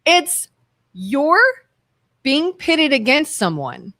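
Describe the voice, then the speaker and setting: A woman co-hosts a studio talk show, pressing her points firmly and insistently. Her pitch sits fairly high and swings widely through each sentence. The recording is close and dry. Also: huge pitch swings, very slow, a bit bright, dry recording. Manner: emphatic and insistent. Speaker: radio talk show co-host. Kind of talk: casual opinion talk.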